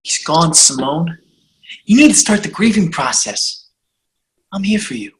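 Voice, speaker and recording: A woman voicing a gloomy, deadpan teenage boy at a table read. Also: on the low side, low-quality recording. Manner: slow, deadpan.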